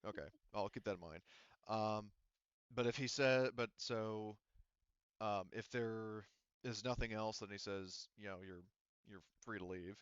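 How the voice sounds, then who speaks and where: A man runs a tabletop game in a smooth, clear voice at an average pitch. He is informal and sweary, talking casually through rules and story. The sound is dry and a little muffled. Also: unhurried, a bit bright, dry recording. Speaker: game master.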